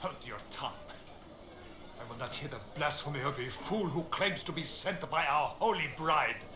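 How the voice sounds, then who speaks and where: A man's voice: a little high, normal speed, crowd noise, natural voice. A man playing an outraged, fanatically pious believer in a fantasy video game, declaiming with zeal. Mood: outraged, anguished. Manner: declamatory, zealous. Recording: echoey, noisy ambience.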